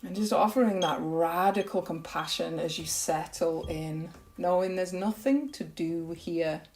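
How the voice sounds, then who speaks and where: A woman yoga nidra teacher leading a guided meditation in a gentle, unhurried, soothing way with long pauses. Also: fairly low pitch.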